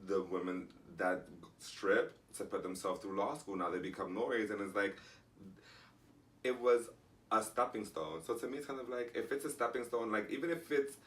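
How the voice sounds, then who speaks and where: A man co-hosting a casual podcast chat, talking in animated bursts with many restarts. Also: on the low side, normal speed. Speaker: podcast co-host. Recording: distant mic, faint background noise.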